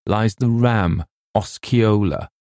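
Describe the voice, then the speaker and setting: A man reading as an eager young soldier briskly pointing things out. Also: lowish voice, a little mellow, no room sound, studio quality, pitched above the performer's own voice. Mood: informative. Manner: brisk, explanatory listing.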